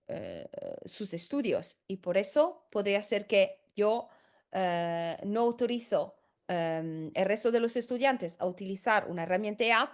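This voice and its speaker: A woman who is not a native Spanish speaker, a consultant explaining in a tutorial, hesitant with many fillers and restarts. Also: on the high side. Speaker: senior professional services consultant.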